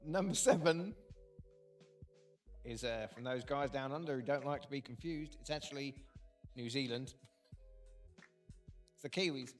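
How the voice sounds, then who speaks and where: A man hosting a casual live quiz, dry and wry, often pausing with ums. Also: mid-range voice, faint music behind. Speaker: quiz host.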